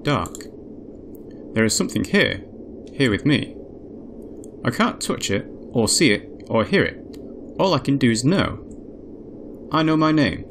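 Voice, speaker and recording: A man voicing a newly awakened, curious female android in a visual novel, measured and introspective. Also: mid-range voice, gravelly, a little hiss or hum. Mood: wondering, quiet. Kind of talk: visual novel character narration. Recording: music behind the voice.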